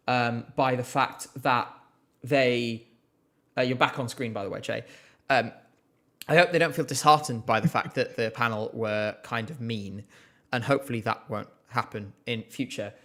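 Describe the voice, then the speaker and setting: A man's voice: mid-range voice, moderate pace, fairly bright tone. A man hosting a casual live stream, chatting in a rambling way with lots of fillers and a slight cough. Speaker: livestream host.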